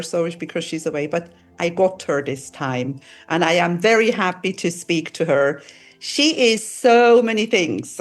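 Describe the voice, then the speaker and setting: A woman in her sixties hosting a podcast interview, speaking effusively. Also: on the low side. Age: 60s. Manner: enthusiastic, effusive. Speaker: podcast host.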